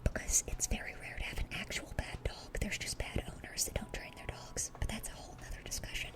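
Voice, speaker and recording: A woman's voice: fairly quick, natural voice. A woman playing a dorky, eager young demon in a whispered ASMR roleplay, rambling sweetly and often repeating her words. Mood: earnest rambling.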